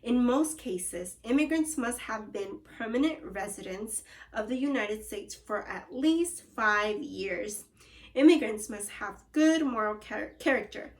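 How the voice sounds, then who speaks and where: A woman's voice: a little high, expressive, a little slow. A woman teacher reading aloud to young children, encouraging them and prompting with questions and cues. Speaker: elementary school teacher.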